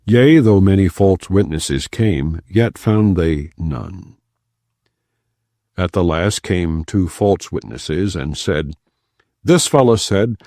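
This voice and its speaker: A man reading scripture aloud in a measured, solemn way, lifting his voice for the quoted speech. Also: low voice, a little mellow, dry recording, studio quality. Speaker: scripture reader.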